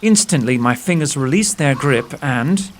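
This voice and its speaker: Male actor as self-assured detective, precise old-fashioned diction, audio drama, mid-pitched, faint background noise. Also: animated, moderate pace, natural voice. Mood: demonstrative. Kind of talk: audio theatre acting.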